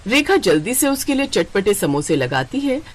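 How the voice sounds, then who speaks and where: A woman's voice: medium pitch, very animated, normal speed. A woman reading scripted narration for a moral story as its narrator. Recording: heavy noise behind the voice.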